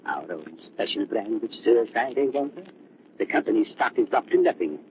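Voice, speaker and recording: Gloating villain doctor, swooping voice. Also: male voice, on the low side, sing-song, moderate pace. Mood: smug gloating. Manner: gloating, grandiose, theatrical. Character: gloating, embittered villainous doctor, a scheming male scientist. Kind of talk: 1930s radio drama acting. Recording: muffled, noisy 1930s radio recording.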